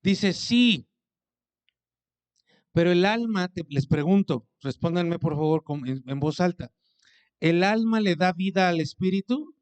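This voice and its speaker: A man's voice: sits high, expressive, unhurried, pauses often, clean tone. A man preaching a sermon as pastor, speaking conversationally, asking his congregation questions and acting out what people say to him.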